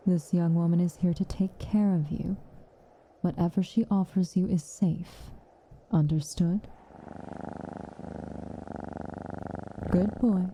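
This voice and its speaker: A woman playing a stern but caring witch in an ASMR roleplay, speaking softly and with measure, dryly commanding and often slipping into a whisper. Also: fairly low pitch, breathy edge. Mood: gently commanding. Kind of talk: ASMR roleplay, intimate acted monologue.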